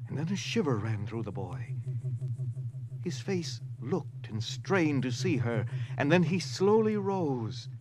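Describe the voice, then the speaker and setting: A man's voice: on the high side, sing-song, slow. A man narrating an audio drama from a script in a dramatic storytelling style. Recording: noisy ambient sound behind.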